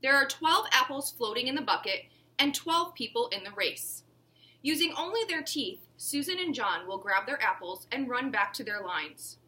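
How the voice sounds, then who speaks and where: Woman voicing bright, emphatic storybook dad. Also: fairly high pitch, expressive, moderate pace. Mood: instructive, rousing. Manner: emphatic, instructive. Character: organising father explaining party game to children.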